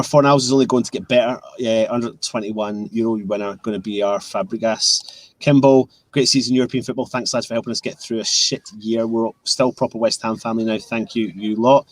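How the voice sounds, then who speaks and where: A man's voice: mid-range voice, no echo, low-quality recording. A young Scottish man hosting a casual live fan stream, chatting and reading out viewer comments over a video call. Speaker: host.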